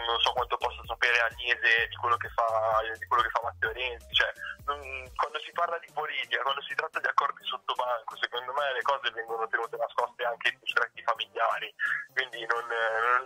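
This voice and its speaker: Man, very sharp rough-edged voice, halting political commentary, phone-like line, music underneath. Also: medium pitch. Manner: halting, repeats words as he goes.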